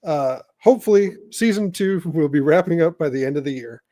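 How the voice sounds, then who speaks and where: A man's voice: a little high, animated, brisk, dark tone, dry recording, studio quality. A man hosting a podcast in a casual chatting style. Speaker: podcast host. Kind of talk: casual sign-off and chat.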